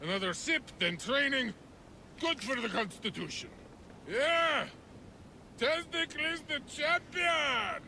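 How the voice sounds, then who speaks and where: An actor playing a boastful, drunken, dim-witted champion athlete in a video game, with slurred, bombastic speech. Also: male voice, very high-pitched, expressive, slow pace, sharp tone, slight background noise, full character voice. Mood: tipsy muttering, then boastful triumph. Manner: slurred, bombastic, speaks of himself grandly. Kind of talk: video game character acting.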